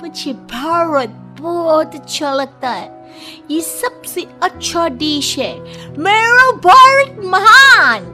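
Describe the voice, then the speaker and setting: Naive young Chinese bride, cartoon, extremely high bright voice, plaintive, sweet, easily indignant. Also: female voice, animated, extremely slow, soft background music, heavily acted. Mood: earnest. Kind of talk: cartoon story character voice.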